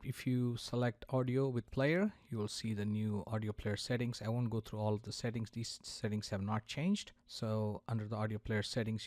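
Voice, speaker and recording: A man presenting a software tutorial, explaining a product's features step by step in a demo narration. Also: lowish voice, no echo.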